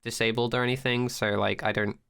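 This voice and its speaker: A man with a bright, clean voice chats casually as a podcast co-host. He is opinionated and animated, swearing freely as he makes his points. His tone is clear and forward. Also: medium pitch, a little fast. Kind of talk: casual pop-culture discussion.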